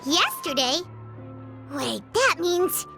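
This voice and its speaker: A woman voicing a tiny, childlike fairy companion in a video game, squeaky, perky and excitable. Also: takes it slowly, creaky, heavily acted. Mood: startled. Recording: music behind the voice.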